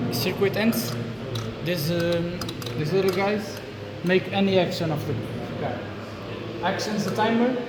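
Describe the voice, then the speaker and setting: A man from Portugal giving a halting, casual presentation of a student project, with many fillers. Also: high voice. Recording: echoey room, loud background noise.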